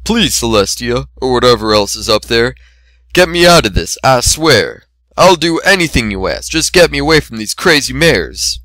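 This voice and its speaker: A big farm stallion at his wits' end, played by a man in a story reading. He sounds exasperated and pleading, in a bright, forceful voice with a dry recording. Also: medium pitch, sing-song, moderate pace, dry recording. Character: flustered, grumbling big male farm stallion.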